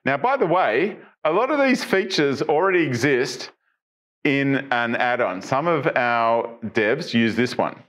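A man's voice: mid-range voice, expressive, a little slow, fairly bright tone. Chatty middle-aged man presenting tech news. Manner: chatty, asks viewers questions, frequent ums and restarts. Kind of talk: casual tech walkthrough. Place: studio stage with dark backdrop.

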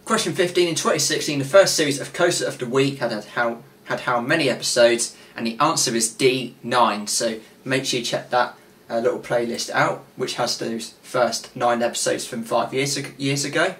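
A man's voice: medium pitch, moderate pace, fairly bright tone. A twenty-one-year-old man hosting a quiz from his bedroom, rambling with many fillers and restarts, his voice wearing out. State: voice getting tired. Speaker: channel host running a quiz. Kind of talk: casual quiz hosting to camera. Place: small bedroom with gaming desk, indoors.

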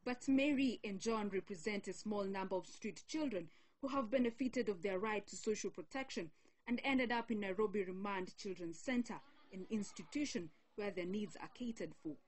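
A young woman reporter reading a scripted news feature in a measured, solemn way. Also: medium pitch, a little mellow, low-quality recording.

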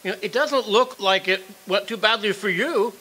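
An actor playing a flustered, indignant executioner with a stammering manner in a radio play. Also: male voice, high-pitched, moderate pace, pushed and bright, slightly rough, full character voice, rough recording. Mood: curious, softening. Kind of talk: stage radio drama acting.

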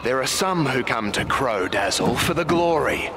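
An actor playing a sly wasteland race master in a video game, with florid, preacher-like theatrical oratory. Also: male voice, mid-range voice, animated, moderate pace, background noise, close to an everyday voice. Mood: grand, ceremonial. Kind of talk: theatrical game character acting.

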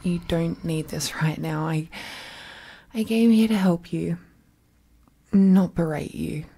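An affectionate, playfully teasing witch girlfriend, acted by a woman for intimate ASMR. Her voice is very soft, dark and breathy, often dropping to a whisper. She coos tenderly and takes her time over each phrase. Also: lowish voice, slow, exaggerated voice. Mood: worried, tender. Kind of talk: ASMR roleplay, intimate close-up acting.

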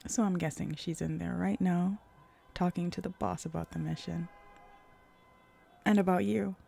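Woman as a husky, teasing hellhound seductress. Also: fairly even intonation, dark tone. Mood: coaxing, flirty. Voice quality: low, husky, creaky. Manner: slow, teasing, seductive, drifting into breathy whispers. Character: flirty, teasing female hellhound, a sly demon pack hunter. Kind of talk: roleplay ASMR audio drama.

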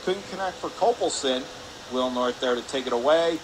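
A man's voice: mid-range voice, moderate pace, rough recording. A man calling live hockey play-by-play for radio, in clipped, urgent phrases as the action moves. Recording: clear arena noise behind voice. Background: ice rink ambience.

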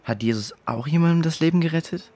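Man as young boy, fairly high male voice, eager, curious, audio drama. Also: fast, dark tone, close to an everyday voice.

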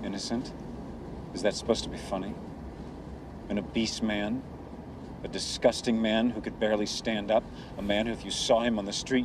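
A man's voice: mid-range voice, background noise, lightly acted. A man playing a contemptuous self-righteous killer. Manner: contemptuous, cold, scornful. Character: cold self-righteous male serial killer.

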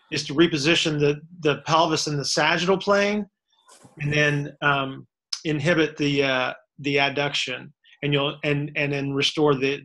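Bright, forceful coach voice on a webcam call, repeats words, keeps asking "make sense?". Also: male voice, on the high side. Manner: explains step by step, repeats words, checks for understanding. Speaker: strength and fitness coach answering questions. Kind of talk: casual expert Q&A explanation. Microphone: webcam on a video call.

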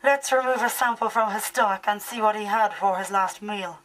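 A woman's voice: medium pitch, brisk, a bit bright, natural voice, poor audio. A young woman acting a commanding female scientist in a radio horror-comedy, recorded in a living room. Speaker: drama student actor. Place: living room of a large house.

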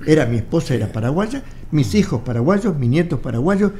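A man's voice: a little high, big pitch swings, brisk, mellow, slight background noise. A man in his eighties with an Argentine Spanish accent, a guest in a studio interview, telling his story animatedly and acting out old conversations. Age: 80s. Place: studio with wall photos. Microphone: studio mic on boom arm.

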